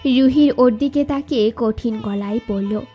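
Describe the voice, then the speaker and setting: A woman's voice: a little high, a little slow, dull audio. A woman narrator reading a scripted romantic story. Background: background music.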